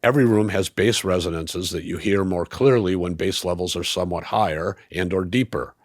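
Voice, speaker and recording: A man delivering a scripted review in a measured, analytical, explanatory way. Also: sits low, dry recording, clean studio sound. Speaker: audio equipment reviewer.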